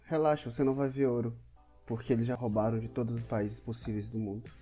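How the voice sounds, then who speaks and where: A man voices a distrustful skeptic in a role-play drama. His dark, relaxed voice is bitter and scornful, full of disbelief, over faint music. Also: mid-range voice, fast talker, close to an everyday voice. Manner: scornful, incredulous.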